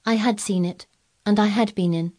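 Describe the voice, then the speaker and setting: A woman's voice: medium pitch, steady tone, normal speed, a little mellow, pitched above the performer's own voice, natural voice. A woman voicing a blunt, sour-tempered young girl in a storybook reading, frank and earnest.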